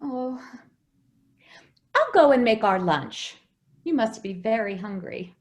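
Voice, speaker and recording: A woman playing a slow, lilting, fussing nanny. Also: a little high, animated. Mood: gently evasive. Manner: coaxing, soothing, falsely cheerful. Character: gentle, fussing nanny minding a little girl. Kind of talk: old-time radio drama acting.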